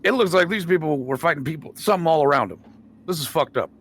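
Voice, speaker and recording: A man's voice: fairly high pitch, animated, quick pace, a bit bright. A man talking casually as a player in a tabletop game, blunt and sweary.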